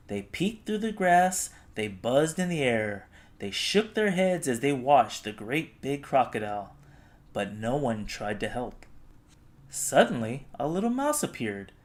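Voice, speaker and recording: A man narrating a children's storybook expressively, with dramatic, deliberate phrasing. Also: medium pitch, very animated. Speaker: storybook reader.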